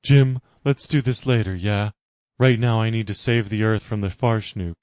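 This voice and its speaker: A man's voice: fairly low pitch, fairly even intonation, moderate pace, darkish tone, clean tone, no room sound, natural voice. A man voicing a determined woman queen who speaks resolutely.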